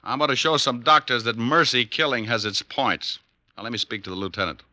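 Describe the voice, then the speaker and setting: Sharp-voiced wisecracking radio private eye. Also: male voice, medium pitch, brisk. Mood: sardonic. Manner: sardonic, wisecracking. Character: wisecracking tough male private detective, cocky and brash. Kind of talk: 1940s-50s radio detective drama acting. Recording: vintage radio recording with background noise.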